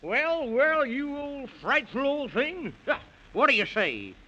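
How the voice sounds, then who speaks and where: A man's voice: very high voice, big pitch swings, takes it slowly, pushed and bright, full character voice. A man acting a whimsical, protective old Man in the Moon, fussy and exclamatory, in a 1930s children's radio play. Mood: indignant. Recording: muffled lo-fi 1930s radio recording.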